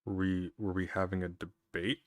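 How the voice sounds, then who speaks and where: A man's voice: deep voice, flat delivery, normal speed, no room sound. A man in his thirties debating online, dry and dismissive, repeating himself when cut off. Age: 30s. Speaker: streamer in a debate.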